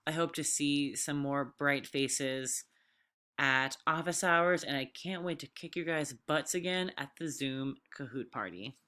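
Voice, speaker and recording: A woman's voice: low voice, a little slow, pushed and bright. A woman teacher reading aloud and explaining an assignment to her students in an informal, direct way.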